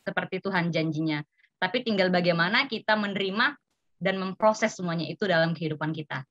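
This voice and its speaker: A woman's voice: on the low side, fairly quick, fairly bright tone, dry recording, highs cut off. A woman presenting at an informal seminar, explaining conversationally and acting out example remarks. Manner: illustrative, acts out example remarks, checks in with "ya". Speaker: seminar speaker. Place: online video meeting.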